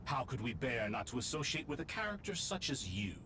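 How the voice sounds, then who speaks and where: An actor playing a wry, mocking saint on a royal council in a video game, lofty and sardonic. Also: male voice, medium pitch, big pitch swings, fairly quick, background noise, natural voice. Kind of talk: theatrical video game acting. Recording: clear game sound behind voice.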